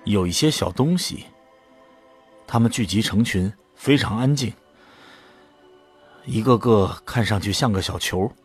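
A man's voice: on the low side, animated, a little slow, pauses often, husky, faint music behind. A man acting in an audio drama as an awed, unsure ordinary volunteer who narrates his own story. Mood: curious. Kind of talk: dramatic scripted narration and dialogue.

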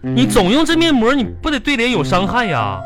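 A henpecked Northeastern Chinese husband in broad radio comedy. His pitch leaps all over as he stammers, repeats himself and placates. Loud music plays behind him. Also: huge pitch swings, fairly quick, exaggerated voice. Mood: questioning, placating. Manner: stammering repetitions, folksy exclamations. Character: teasing, placating henpecked husband in a long marriage. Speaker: radio comedy host and actor.

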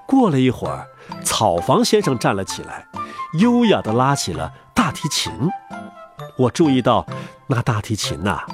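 A man narrating a children's fairy tale in an expressive, storytelling manner. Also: fairly high pitch. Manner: expressive, wide-ranging storytelling delivery.

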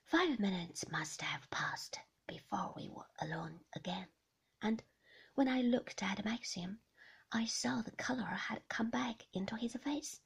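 A woman narrating a novel in a hushed, intimate whisper, as a whispered audiobook reading. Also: a little high, a little slow. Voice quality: breathy whisper.

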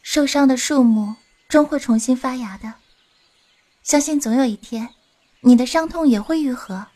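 A woman's voice: high voice, moderate pace, mellow. A woman playing a young adventurer heroine in a Chinese audio drama, tough on the outside and tender underneath. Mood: gentle, consoling.

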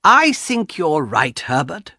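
A woman's voice: low-pitched, very animated, slow pace, sharp tone, no echo, studio quality, pitched above the performer's own voice, heavily acted. A man reading a story aloud and voicing a worried older mother who frets about money.

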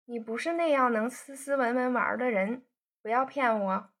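A proud young woman who sulks and snaps back at her sweetheart, voiced by a woman reading the story. Her voice is high and husky-breathy, each line a pointed retort. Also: moderate pace. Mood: sulky. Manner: pouting, sharp retorts.

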